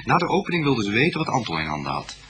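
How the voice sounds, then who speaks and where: A man's voice: medium pitch, huge pitch swings, very fast. An actor playing a talkative, slightly testy male witness in a radio drama, chatty with hesitant restarts. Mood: recalling. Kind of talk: Dutch radio drama acting.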